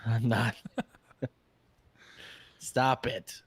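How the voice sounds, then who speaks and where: A man's voice: medium pitch, fairly even intonation, very slow, slightly rough, no room sound. A man co-hosting a casual podcast, a little congested, chatting and joking easily. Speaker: podcast co-host. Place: hot room with a fan.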